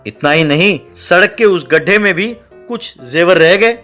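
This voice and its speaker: Male narrator for scripted comedy stories: very high, sharp tone, light music underneath. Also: normal speed. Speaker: story narrator.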